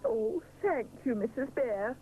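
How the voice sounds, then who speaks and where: A woman voicing a fussy, excitable male baker creature who speaks in flustered, dramatic exclamations. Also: very high voice, very slow, narrow, tinny audio, voice pushed up, full character voice. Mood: cheerful. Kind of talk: storybook character voice. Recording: noisy background hiss.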